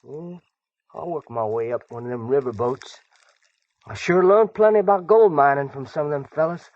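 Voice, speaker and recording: An actor playing a polite, eager teenage runaway with an American accent and a folksy, hesitant way of talking, in a radio western. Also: male voice, fairly high pitch, moderate pace, dry recording, natural voice. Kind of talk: 1950s radio drama acting. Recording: dull vintage 1950s radio recording.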